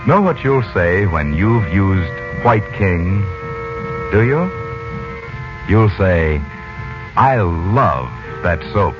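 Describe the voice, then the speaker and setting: A man announcing a 1940s-style radio serial and sponsor pitch in a folksy, persuasive way. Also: animated, very slow, pauses often. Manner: folksy, persuasive, rhetorical questions. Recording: dull lo-fi old radio, music behind. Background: music.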